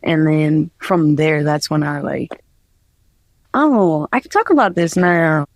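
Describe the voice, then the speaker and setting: A woman's voice: deep voice, slow, no echo. A young woman from New Jersey, a guest artist, talking casually in an interview and rambling with many fillers. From New Jersey, USA.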